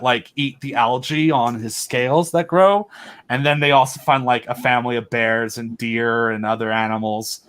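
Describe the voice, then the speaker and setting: A man's voice: medium pitch, a bit bright, clear, resonant tone. A young man, a podcast guest, chatting casually and enthusiastically, full of fillers and restarts. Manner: rambling, enthusiastic, filler-heavy. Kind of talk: casual chat.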